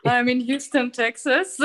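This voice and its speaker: Woman, young adult, Armenian accent, slow pace, fairly high pitch, video call. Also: fairly bright tone. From born in Georgia, raised in Armenia. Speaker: mezzo-soprano, competition finalist. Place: indoor room on a video call.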